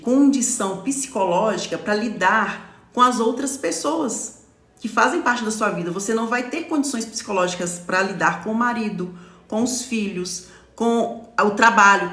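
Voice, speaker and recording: A woman's voice: mid-range voice, fairly bright tone. Brazilian woman in her forties, echoey room. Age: 40s. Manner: explanatory, stops often to read comments, says "tá?". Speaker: lawyer and live-stream host. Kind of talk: live-stream talk to followers.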